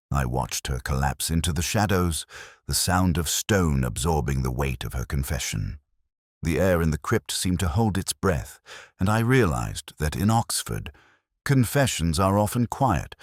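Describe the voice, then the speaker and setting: A man with an extremely low voice narrates a detective mystery in the first person. His delivery is solemn and measured, with lively rises and falls in pitch. The sound is close and dry. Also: no room sound. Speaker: narrator of an audio mystery story.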